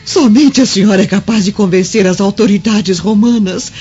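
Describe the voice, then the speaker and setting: A woman playing a gentle, devout and loyal servant in a radio drama. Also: mid-range voice, normal speed, husky, natural voice. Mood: urgent. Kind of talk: Brazilian radio drama acting. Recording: dull lo-fi radio drama with music.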